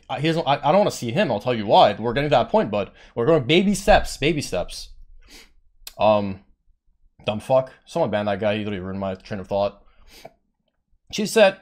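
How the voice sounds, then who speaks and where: Agitated man ranting to his stream. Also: mid-range voice. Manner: heated, petty, swearing, quotes others in raised voice; says he has a monotone voice.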